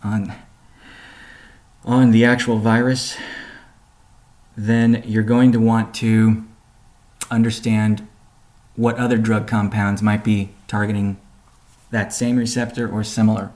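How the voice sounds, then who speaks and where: A man presenting a company update on a conference call, explaining in a measured way with deliberate pauses. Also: lowish voice, level tone, a little mellow.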